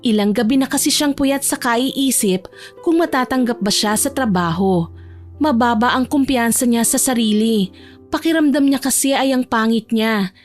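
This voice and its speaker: Female narrator, high voice, scripted audio drama storytelling, romance, soft music behind. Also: normal speed.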